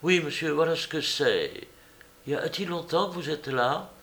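Man playing a naive, flustered young man, pitched up. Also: moderate pace, fairly bright tone. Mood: earnest, timid. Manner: hesitant, stammering, deferential. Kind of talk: audiobook character voice.

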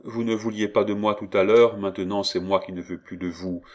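A man voicing a spirited young woman in an audiobook, playful, frank and lively. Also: on the low side, brisk, close to an everyday voice. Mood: frank, teasing.

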